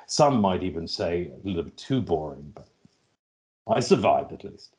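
A man's voice: deep voice, big pitch swings, moderate pace, darkish tone. A middle-aged man with a Finnish accent answering interview questions, hesitating and repeating words as he finds his phrasing. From Finland. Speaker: podcast guest, logistics executive.

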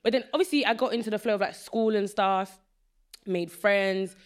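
A young woman in her twenties from Nigeria, hosting a podcast in casual, chatty conversation with a friend. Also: mid-range voice. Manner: quick, chatty, animated storytelling with slang. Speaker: podcast host. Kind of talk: casual chat.

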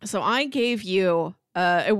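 A woman's voice: mid-range voice, lively intonation, slow, smooth, no echo, clean studio sound. A woman chatting casually as a podcast co-host, explaining things in an articulate, enthusiastic way. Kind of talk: casual chat about sitcoms.

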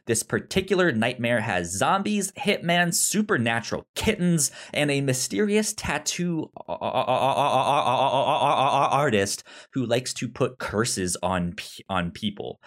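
A man co-hosts a casual review podcast at a very unhurried pace. His mid-pitched voice moves haltingly, stumbling over words now and then as he talks. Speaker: podcast co-host.

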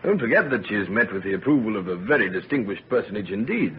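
An actor playing a genteel, discreet middle-aged husband, tactful and proper, in an old-time radio drama. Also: male voice, on the low side, animated, quick pace, lightly acted. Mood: hesitant, guarded. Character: genteel, discreet, fatherly husband of older generation. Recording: noisy, narrow-band vintage radio recording.

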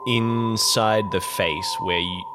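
A man's voice: fairly low pitch, fairly even intonation, slow, heavy background noise. A man playing a tabletop roleplaying game, improvising descriptive narration of his character and the scene, feeling for his words. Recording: loud music under the voice. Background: music.

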